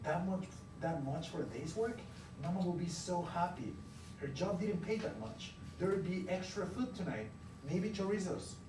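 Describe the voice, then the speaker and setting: A man voicing an eager, polite young boy in a storybook, with an excited, emphatic, raised voice. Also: sits high, moderate pace, recorded from a distance, close to an everyday voice. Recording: roomy, noisy classroom, recorded from afar.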